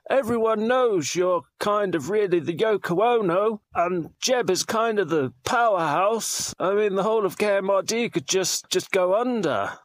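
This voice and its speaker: A man's voice: high voice, no echo. A man voicing a snide, mocking broadcast regulator in a radio comedy skit, dry and condescending.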